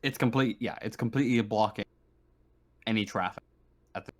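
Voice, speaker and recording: A man's voice: lowish voice, a little fast. A man improvising narration as a tabletop game master, hesitantly, often stumbling and repeating words.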